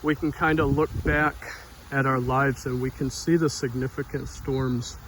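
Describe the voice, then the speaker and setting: A soft-spoken man giving an informal devotional talk outdoors near a street, in a quiet, unhurried manner. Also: mid-range voice, fairly even intonation, slight background noise, low-quality recording. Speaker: devotional vlogger. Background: passing cars.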